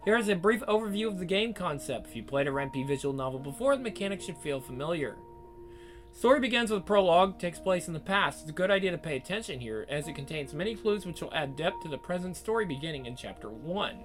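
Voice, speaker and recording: A man hosting a let's play, rambling in casual commentary with crude jokes. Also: on the high side. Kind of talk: casual commentary over a game.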